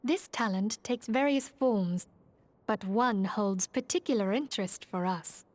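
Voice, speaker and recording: A woman playing a poised, gracious leader of a secret order in a fantasy game, speaking in calm, formal, measured phrases. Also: mid-range voice, no room sound, natural voice. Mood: solemn, explaining. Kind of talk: scripted fantasy game acting.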